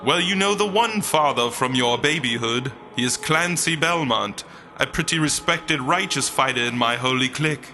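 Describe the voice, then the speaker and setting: A man playing a holy being of light in a comic audio drama, booming and declamatory, with flippant, teasing asides. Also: expressive, moderate pace, bright, forceful tone, a little hiss or hum. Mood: preachy, teasing. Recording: music behind the voice.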